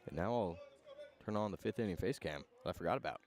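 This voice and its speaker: A man doing live radio play-by-play of a baseball game in clipped phrases, with pauses between calls. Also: sits low, lively intonation, darkish tone. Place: outdoor ballpark broadcast.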